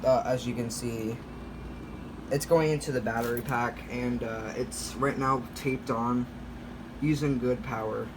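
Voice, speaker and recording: A man giving a casual, unscripted show-and-tell as a hobbyist vlogger, rambling and halting, often correcting himself as he goes. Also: mid-range voice, steady tone. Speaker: hobbyist vlogger showing a radio collection. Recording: clear background noise, home video.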